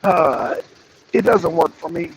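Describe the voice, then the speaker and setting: Raspy high-voiced man streaming live. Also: wildly swooping pitch, slow, rough recording. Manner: rambling, repeats phrases, greets viewers by name. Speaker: streamer hosting a wrestling show. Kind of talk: casual live-stream talk to chat.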